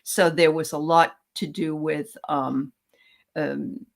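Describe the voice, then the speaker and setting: Woman host from New Hampshire, lowish voice, friendly and halting, casual interviewer. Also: fairly even intonation, vocal fry. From New Hampshire, USA. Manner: friendly, halting, many fillers.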